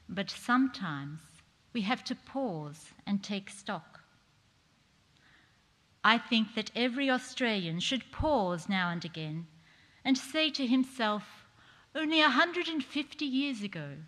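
A middle-aged woman performing a dignified 1940s woman politician's speech to parliament, in measured, declamatory theatrical oratory. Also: a little high, fairly bright tone. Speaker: performer reading a historic speech on stage. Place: large theatre stage, indoors. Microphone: stage microphone on a stand. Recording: echoey hall with long reverb.

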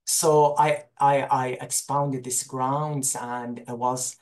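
A man's voice: mid-range voice, little pitch movement, slow, darkish tone. A man in his forties from Iraq with an Iraqi accent, talking informally as an interview guest on a video call, often searching for words. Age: 40s. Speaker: guest, religious teacher. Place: small room indoors, on a video call.

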